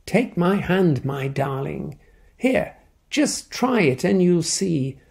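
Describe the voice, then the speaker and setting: A gentle mother bird coaxes her little one in a soft, slow storybook voice, as a woman reads her. Her tone is warm and mellow, with a light gravelly edge. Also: fairly low pitch, big pitch swings, voice pushed up, full character voice. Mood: tender. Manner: soft and coaxing.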